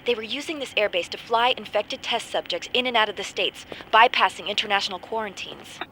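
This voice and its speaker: A woman's voice: mid-range voice, a little fast, a bit bright, a little hiss or hum, lightly acted, poor audio. An actress playing a defiant, weary woman agent on the run from captivity in a video game cutscene. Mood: urgent, tired.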